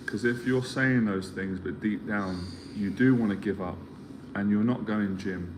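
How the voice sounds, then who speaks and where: Casual. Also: male voice, fairly low pitch, unhurried. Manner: earnest, rambling, repeats himself while searching for words. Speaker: life mentor. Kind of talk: motivational live stream talk. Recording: clear background ambience noise.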